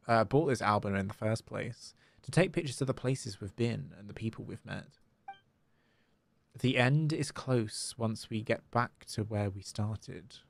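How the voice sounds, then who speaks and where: A man livestreaming a game, excitable, casually commenting and reading dialogue aloud, with exclamations. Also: medium pitch, vocal fry, no echo. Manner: excitable, reacts with gasps and drawn-out exclamations. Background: game music.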